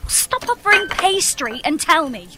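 A woman's voice: very high voice, very animated, normal speed, noisy background. An actress playing a wounded, exasperated daughter in her thirties in an audio comedy, bluntly pressing for answers. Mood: hurt, aggrieved. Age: 30s. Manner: blunt, insistent, aggrieved. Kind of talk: audio comedy drama acting.

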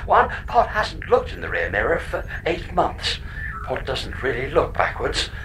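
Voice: medium pitch, wildly swooping pitch, measured pace, a bit bright, slight background noise, low-quality recording. An actor voicing a glitchy male spaceship computer in an audio comedy, pedantic, childish, petulant and evasive. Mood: matter-of-fact. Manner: petulant, literal-minded, evasive. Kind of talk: audio comedy drama acting.

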